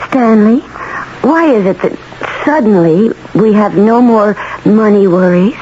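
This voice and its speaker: A woman's voice: medium pitch, unhurried, warm tone, natural voice. A woman playing an adoring, flighty wife in a radio drama, gushing and affectionate. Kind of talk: 1970s radio drama acting. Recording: muffled, noisy vintage radio recording.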